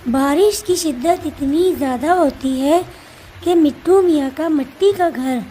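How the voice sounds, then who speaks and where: A woman's voice: sits high, warm tone, poor audio. A woman narrating a scripted children's story.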